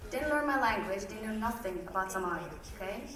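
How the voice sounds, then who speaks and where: A woman's voice: mid-range voice, expressive, fast talker, a bit bright. A young woman giving a candid personal speech to an audience, chatty, with rhetorical questions. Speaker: guest speaker. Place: event hall. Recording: roomy hall from a distance.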